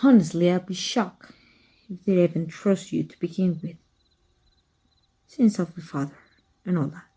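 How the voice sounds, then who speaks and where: A woman playing an exiled evil magic teacher in an ASMR roleplay, wry and fond, speaking softly and intimately with a husky, breathy voice. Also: fairly low pitch. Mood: wry, guarded. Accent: Mexican. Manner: wry, unhurried, intimate, addressing a former student.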